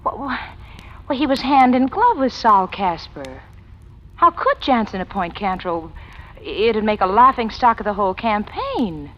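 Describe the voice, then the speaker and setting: Noir sweetheart, very expressive swoops. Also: female voice, medium pitch, a little slow. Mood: shocked, incredulous. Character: crook's sultry, pleading, proud sweetheart in noir drama. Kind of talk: 1940s-50s radio noir acting. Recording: dull, noisy old radio recording.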